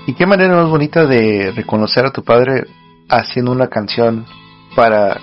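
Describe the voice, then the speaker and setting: A man's voice: mid-range voice, normal speed, dull audio, soft background music. A male radio host chatting casually, rambling with many fillers and laughing often.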